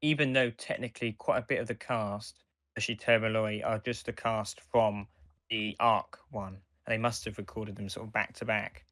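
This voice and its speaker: A man with a lowish voice co-hosts a podcast. He talks in a casual, conversational way. The recording is dry, without room echo. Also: steady tone, moderate pace, a bit bright. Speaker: podcast co-host. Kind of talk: casual discussion.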